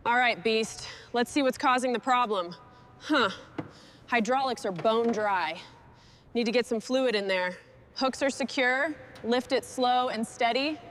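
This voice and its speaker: A woman's voice: on the high side, unhurried, fairly bright tone, slight background noise. A woman muttering to herself and giving brief instructions while doing restoration work, in short bursts of work talk. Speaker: machinery restorer.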